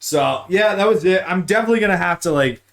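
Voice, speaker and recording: A man's voice: high voice, animated, fast talker, creaky. A man hosting a casual gaming talk show, chatting informally with plenty of slang and swearing. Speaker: podcast host.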